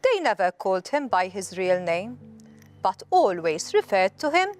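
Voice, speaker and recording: A woman reading a children's story aloud, with deliberate, expressive storytelling emphasis. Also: medium pitch, faint music behind. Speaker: storybook reader.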